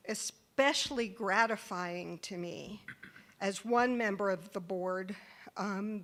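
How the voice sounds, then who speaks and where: A woman on a school board speaking slowly and carefully at a meeting, with many pauses and "um"s. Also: medium pitch, a bit bright. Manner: slow and measured, with many fillers.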